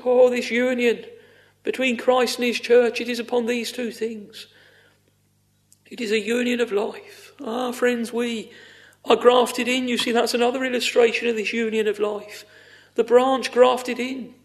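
A man's voice: extremely high pitch, level tone, a little slow. A man preaching a fervent extempore evangelical sermon, exclamatory, repeating phrases for emphasis with sighing interjections.

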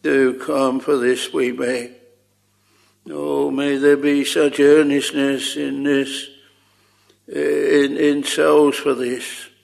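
Man pleading in prayer, flat even tone, short phrases trailing off soft. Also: medium pitch, very slow, creaky. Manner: earnest pleading, archaic thee and thou phrasing. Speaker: preacher leading prayer. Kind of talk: extempore public prayer.

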